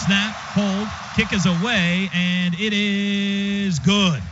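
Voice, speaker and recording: An American man doing radio play-by-play of a football game in clipped present-tense phrases, excitable on big plays. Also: high-pitched, slow pace. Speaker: play-by-play announcer. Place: stadium radio booth. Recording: dull, noisy stadium radio broadcast.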